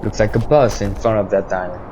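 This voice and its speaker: A man's voice: lowish voice, fairly even intonation, brisk, dull audio, close to an everyday voice. A man voicing a cautious state trooper in a school radio play, speaking earnestly and a bit hesitantly. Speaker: student actor. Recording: clear background noise.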